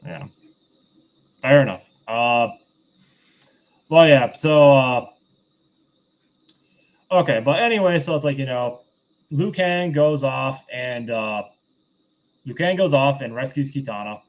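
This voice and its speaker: A man's voice: medium pitch, slow pace, pauses often. Male podcast host, mid-range voice, loose rambling film chat, a bit lo-fi. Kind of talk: casual review chat.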